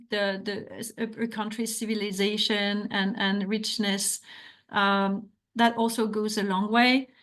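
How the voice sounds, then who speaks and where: A middle-aged woman from France with a French accent, giving an academic talk and answering questions, earnest and full of restarts. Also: on the high side. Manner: earnest, hesitant with frequent restarts. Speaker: academic author.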